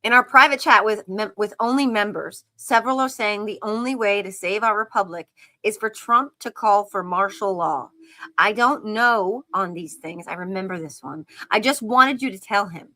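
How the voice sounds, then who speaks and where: A woman's voice: mid-range voice, moderate pace, pushed and bright. A woman co-hosting a live commentary stream, reading aloud and commenting in a conversational way. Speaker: co-host reading texts and commenting.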